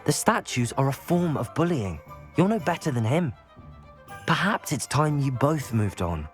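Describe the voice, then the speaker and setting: Man playing a courteous, lively British student wizard. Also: mid-range voice, normal speed, faint music behind, natural voice. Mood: firm. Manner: courteous, composed. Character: earnest, polite, principled teenage schoolboy wizard. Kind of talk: video game voice acting.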